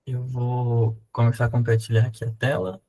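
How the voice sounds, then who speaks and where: A man's voice: mid-range voice, completely flat delivery, moderate pace, mellow. A young man from Brazil, a university student, presenting research over a video call in an explanatory way with some fillers. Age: young adult. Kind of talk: conference presentation.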